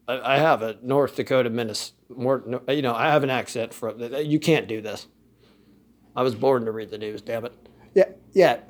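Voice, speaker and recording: A man's voice: a little high, darkish tone. A man ranting in a loose, joking way, laughing now and then and restarting his sentences. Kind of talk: casual rant.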